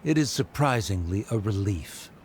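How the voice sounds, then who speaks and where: A man's voice: lowish voice, animated, normal speed, darkish tone, a little hiss or hum, natural voice. A man playing a haughty, cold academic father in a game cutscene, pompous and clipped. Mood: disdainful.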